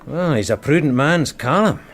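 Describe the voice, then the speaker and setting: A man's voice: a little high, expressive, moderate pace, a little hiss or hum. A man acting in a radio crime drama as a detective sergeant, wry and familiar yet respectful. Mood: wry, amused.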